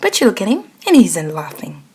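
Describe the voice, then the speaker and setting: A woman's voice: mid-range voice, huge pitch swings, a little fast, mellow, breathy edge. A woman narrating a scripted ASMR roleplay story, addressing the listener directly. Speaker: narrator and voice actor.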